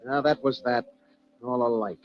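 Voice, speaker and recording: An actor playing a tough, bitter drifter in an old-time radio noir drama, talking in clipped, terse hardboiled phrases. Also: male voice, mid-range voice, fairly even intonation, moderate pace, close to an everyday voice. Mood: brooding. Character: tough, bitter young man drifter back from a year away. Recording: muffled old radio recording, music behind. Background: music.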